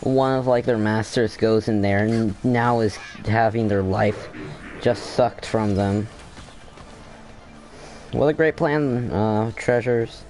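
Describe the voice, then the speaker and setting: A man's voice: on the low side, slow, a little mellow, low-quality recording. A man giving casual let's play commentary, musing aloud in a hesitant way. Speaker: gaming video creator.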